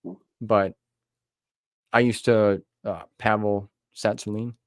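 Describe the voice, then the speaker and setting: A man's voice: on the low side, fairly even intonation, slow pace, dry recording. A middle-aged man hosting a podcast interview in a casual, chatty style. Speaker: podcast host. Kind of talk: casual chat.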